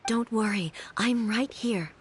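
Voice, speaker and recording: Regal game sovereign: slightly high voice, serene, formal, measured, reassuring. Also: female voice, a little hiss or hum. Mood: calm, reassuring. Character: regal, serene female ruler, composed and reassuring. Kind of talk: video game voice acting.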